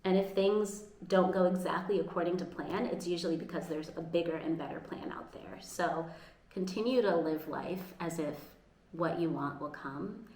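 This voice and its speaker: A woman's voice: fairly low pitch, moderate pace, darkish tone, a little hiss or hum. An American woman vlogging casually at home, earnest and upbeat, sometimes restarting her sentences. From United States. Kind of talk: casual vlog talk. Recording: echoey room with faint ambience.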